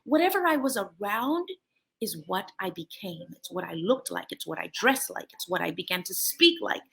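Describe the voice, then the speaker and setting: A middle-aged American woman hosting a motivational talk, animated and laughing often as she speaks. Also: mid-range voice, normal speed, gravelly, a little hiss or hum, rough recording. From United States. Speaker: host.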